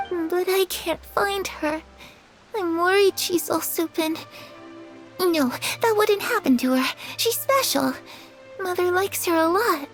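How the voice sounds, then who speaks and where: A woman voicing a lonely, fragile young girl in a video game, dreamy and hesitant. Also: very high-pitched. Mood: anxious, sad. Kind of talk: video game character dialogue.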